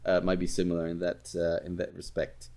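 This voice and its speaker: Man, lowish voice, podcast host, casual interviewing, halting delivery. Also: monotone, darkish tone.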